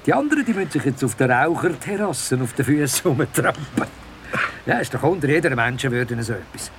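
An actor with a Swiss German accent playing a chatty, grumbling office worker in a radio drama, talking in a colloquial, animated way. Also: male voice, mid-range voice, a little fast, slight background noise. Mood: grumbling, confiding. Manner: colloquial, animated griping with tag questions. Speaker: office worker in materials management.

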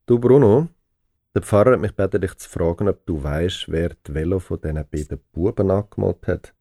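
Husky, mellow Swiss German dad. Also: male voice, low voice, brisk, no room sound, clean studio sound. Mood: gently probing. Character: tired, gently probing middle-aged father. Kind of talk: audio drama acting for children.

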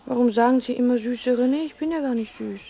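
Sulky naive young lady, high voice, artless, blunt, old radio comedy. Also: quick pace, vocal fry, mellow. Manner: artless, petulant. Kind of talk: 1960s German radio comedy acting.

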